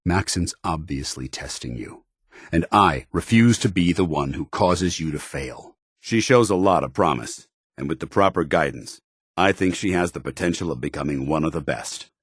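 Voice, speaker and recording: A disciplined military paladin from a video game, with a very low, heavy voice. He is stern and principled, speaking in formal, clipped commands. The sound is close and dry, with a slightly rough edge to the tone. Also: animated, moderate pace, no echo, full character voice. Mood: earnest, formal. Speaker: video game companion character.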